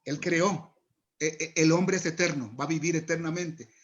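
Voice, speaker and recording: A man's voice: a little high, moderate pace. A man, an interview guest, explaining scripture step by step in a didactic, teaching way. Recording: muffled lo-fi audio.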